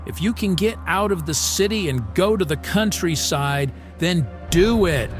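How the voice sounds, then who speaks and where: A man voicing a grave plague doctor who gives stern, deliberate warnings in an audio drama. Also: sits high, lively intonation, faint music behind, voice pushed up.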